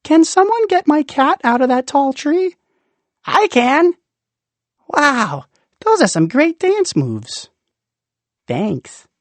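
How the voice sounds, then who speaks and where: A man's voice: very high-pitched, big pitch swings, takes it slowly, long pauses, no echo, pitched above the performer's own voice, exaggerated voice. A man voicing cheerful townspeople in a storybook, exclaiming in an exaggerated way. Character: cheerful townspeople chatting, overheard from underground.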